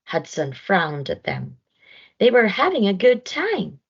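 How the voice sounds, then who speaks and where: A woman reading a children's story aloud in an expressive narration style, on a slightly dull recording. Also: medium pitch, slow, slightly rough. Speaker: storybook reader.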